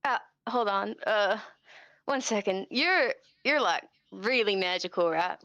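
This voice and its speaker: A woman with a medium-pitched voice improvises as a player in a tabletop game. Her delivery is hesitant and informal, recorded dry and close. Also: animated, unhurried, a bit bright, no echo, muffled recording. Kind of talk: casual improvised role-play.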